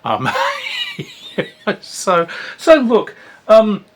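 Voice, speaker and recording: A man's voice: high-pitched, huge pitch swings, drawn-out words. A man giving a casual spoken review of a tabletop game, rambling and hesitant, with frequent fillers and dry asides.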